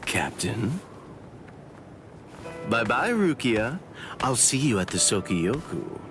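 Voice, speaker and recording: A man's voice: mid-range voice, big pitch swings. A man voicing a sly, taunting, falsely friendly swordsman captain in an anime game dub. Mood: silky taunting. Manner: teasing, drawn-out, falsely polite. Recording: prominent music behind voice.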